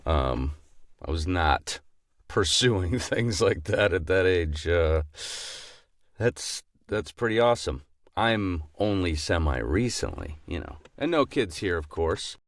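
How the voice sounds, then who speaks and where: Deep, lively-voiced podcast co-host. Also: male voice, slow, dry recording, clean studio sound. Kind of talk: casual podcast interview.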